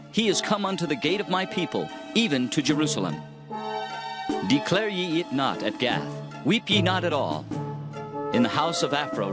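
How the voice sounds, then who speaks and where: A man playing an impassioned prophet in a dramatized scripture reading, declamatory and theatrical as he laments and warns his people, with music behind. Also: fairly high pitch. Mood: lamenting. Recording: music under the voice.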